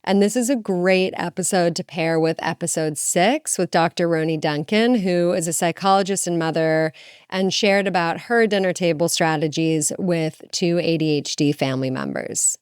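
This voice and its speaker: An enthusiastic woman co-hosts a chatty podcast. Her voice is low-pitched and smooth, recorded dry and close. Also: normal speed, no room sound. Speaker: podcast co-host. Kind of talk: casual interview.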